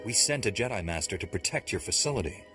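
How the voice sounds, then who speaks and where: A man playing a resolute Jedi Knight in a video game, measured and composed, with a dry wit. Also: on the low side, animated, bright, forceful tone, faint music behind. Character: resolute male Jedi Knight, calm and dryly wry. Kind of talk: scripted video game acting.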